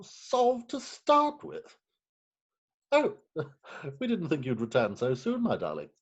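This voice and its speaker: A man playing a genial, doting Victorian gentleman in a stage farce, fond and a little hesitant, over a video call. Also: a little high, huge pitch swings, slow pace, slightly rough, highs cut off, heavily acted. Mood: genial, flustered. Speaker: actor in an amateur staged reading.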